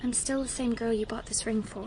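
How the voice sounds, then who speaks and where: A woman's voice: a little high, steady tone, fairly quick, darkish tone, slight background noise, natural voice. A young woman acting a sweet, devoted, earnest heiress in a radio play. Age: young adult.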